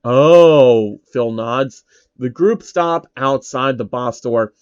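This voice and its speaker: A man's voice: medium pitch, slow pace, clear, resonant tone, no echo, muffled recording. A man narrating a comedic story in a casual, irreverent way, with offhand asides.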